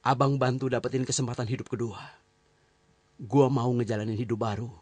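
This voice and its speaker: A man's voice: mid-range voice, fairly even intonation, a little fast, a little mellow, no room sound, lightly acted. A man voicing a male thief who swears to change his life, speaking earnestly. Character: repentant male thief vowing a new life.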